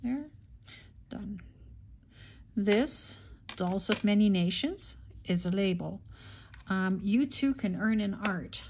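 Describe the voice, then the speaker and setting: A woman's voice: mid-range voice, animated, slow pace, long pauses, darkish tone, slight background noise. A woman hosting a casual craft-along, unhurriedly thinking aloud and murmuring to herself as she works. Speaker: craft tutorial host.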